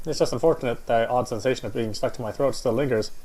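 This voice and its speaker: A man's voice: mid-range voice, fast, dark tone. A man reading first-person visual novel narration aloud.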